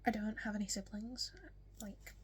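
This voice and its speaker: A nineteen-year-old woman with a strong Yorkshire accent, chatting casually to camera in a get-to-know-me vlog, often soft and whispery with lots of ums, hiccuping now and then. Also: medium pitch, flat delivery, moderate pace, slight background noise. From West Yorkshire, England. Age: young adult. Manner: soft, frequently whispery delivery with many ums. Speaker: vlogger.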